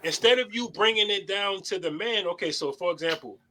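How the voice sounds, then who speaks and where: A man's voice: high-pitched, brisk, pushed and bright, a little hiss or hum. A young adult man hosting a casual panel debate, talking bluntly and preachily, with plenty of swearing. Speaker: host.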